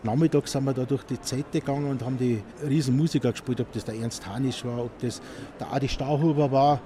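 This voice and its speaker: Bavarian bandleader talking over street noise. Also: male voice, medium pitch, a little fast. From Munich, Bavaria. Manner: relaxed, chatty, with tag questions. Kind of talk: casual interview. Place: outdoors at a festival ground. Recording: outdoor traffic noise behind.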